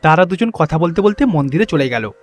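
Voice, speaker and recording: A man's voice: on the high side, sing-song, brisk. A man narrating a moral tale as a scripted storyteller.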